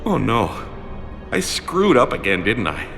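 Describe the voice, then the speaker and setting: A man playing an eager, bumbling, apologetic fan of a space commander in a video game, excitable and easily flustered. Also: medium pitch, a little slow, gravelly, soft background music, heavily acted. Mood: sheepish.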